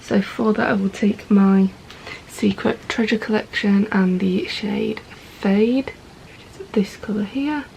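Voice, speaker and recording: Croaky woman talking through her makeup. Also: mid-range voice, a little slow, a little hiss or hum, rough recording. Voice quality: gravelly. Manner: calm, methodical, narrates each step as she goes. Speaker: makeup vlogger. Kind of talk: step-by-step makeup tutorial.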